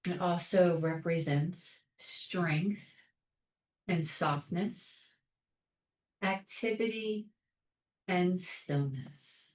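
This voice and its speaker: A woman leading a guided meditation in a calm, soothing, measured way, leaving long pauses between short phrases. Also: fairly low pitch, roomy sound, rough recording. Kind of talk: guided meditation, soft instruction.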